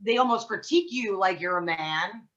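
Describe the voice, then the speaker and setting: A woman's voice: medium pitch, expressive, normal speed, a bit bright, dull audio. A woman vocalist, a guest on a podcast, talking thoughtfully with lots of fillers. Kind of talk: podcast conversation.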